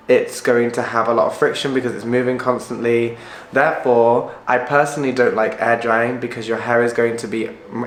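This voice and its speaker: A man, a hairstylist, sounds husky after the flu and explains things directly in an educational vlog. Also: mid-range voice, roomy sound, a little hiss or hum. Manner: direct, explanatory, asks the viewer if it makes sense.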